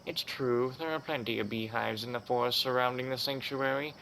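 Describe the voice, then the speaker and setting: A man's voice: mid-range voice, fairly even intonation, brisk, vocal fry, bright, forceful tone, a little hiss or hum, low-quality recording. A man voicing a folksy, modest old grandmother villager in a video game. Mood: modest, fond. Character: grateful, gently grumbling old grandmother villager.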